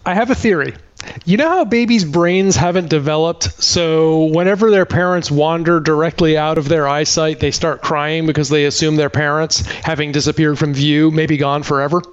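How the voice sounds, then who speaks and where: High-pitched sardonic man recapping a movie. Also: fairly even intonation, moderate pace, smooth, lo-fi sound. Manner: sardonic, emphatic. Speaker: podcast reviewer. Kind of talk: comic movie recap, dramatic reading.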